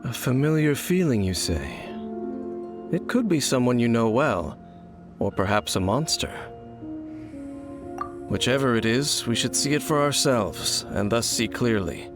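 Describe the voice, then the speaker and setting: A man playing a solemn, world-weary, cryptic wanderer in a video game, speaking in a formal, measured, brooding manner. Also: mid-range voice, big pitch swings. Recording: music playing behind the voice. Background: game music.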